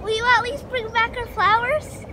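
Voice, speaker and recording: A man's voice: very high-pitched, steady tone, normal speed, over a noisy crowd, heavy background noise, voice pushed up. A man doing tiny pleading children's voices. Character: pleading small children, high and thin.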